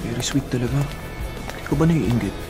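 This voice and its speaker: Guy acting earnest young pinoy boyfriend, radio drama, pleading n tender, pitch all over, music under it. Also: lively intonation, fairly quick, close to an everyday voice. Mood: playful, coaxing. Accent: Filipino. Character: sweet, earnest young boyfriend courting his girlfriend. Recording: music playing behind the voice.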